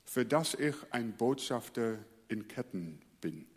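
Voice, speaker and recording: Dutch-born pastor preaching in a hall. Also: male voice, mid-range voice, expressive, slow pace, breathy edge. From Netherlands. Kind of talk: sermon.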